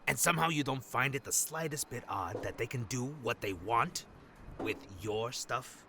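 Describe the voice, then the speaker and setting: An actor playing a calm, wary undercover man in an audio drama, measured and skeptical. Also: mid-range voice, slight background noise.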